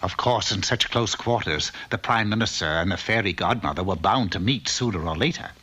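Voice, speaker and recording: A man's voice: deep voice, fairly quick, bright, forceful tone, breathy edge, full character voice. A man playing a droll storybook narrator, reading scripted fairy-tale narration for a cartoon. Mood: mock-solemn. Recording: dull lo-fi old cartoon soundtrack.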